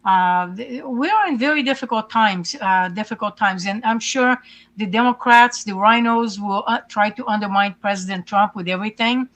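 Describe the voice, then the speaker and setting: A woman talks as an interview guest at a middling pitch. She speaks earnestly and pauses often on fillers and restarts. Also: fairly bright tone. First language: Hungarian. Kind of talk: casual interview talk.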